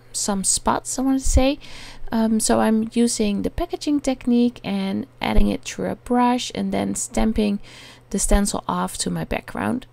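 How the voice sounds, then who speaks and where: Dutch-accented woman, very gravelly fry, halting with lots of ums, crafting tutorial voice-over. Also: medium pitch, darkish tone. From Netherlands. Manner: hesitant, many ums while searching for words. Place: home attic.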